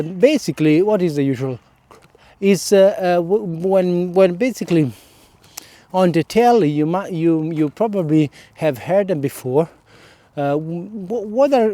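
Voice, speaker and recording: A man's voice: on the high side, mellow, no room sound. A man with an Italian accent, a native Italian speaker, talking casually to his followers in a solo vlog, hesitant, with many fillers, often checking in with "okay?". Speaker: vlogger.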